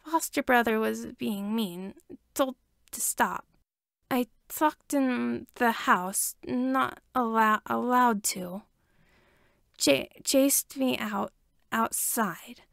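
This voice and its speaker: A frightened little boy in a story , voiced by a woman. The voice is small, quiet and fairly high. It creeps out very slowly in broken, stammered phrases. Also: creaky, dry recording, studio quality, exaggerated voice. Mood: timid, upset.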